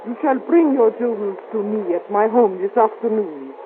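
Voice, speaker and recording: A woman's voice: mid-range voice, animated, natural voice. An actress playing a haughty, alluring, wealthy foreign lady in an old-time radio drama, speaking accented English in an imperious, coy way. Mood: imperious, enticing. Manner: imperious, coy, deliberate phrasing. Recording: tinny, hissy old radio recording.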